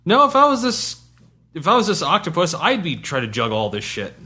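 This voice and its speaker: A man chatting casually on a podcast as a game player, excitable and sweary. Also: a little high, fairly quick, faint music behind. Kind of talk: casual chat.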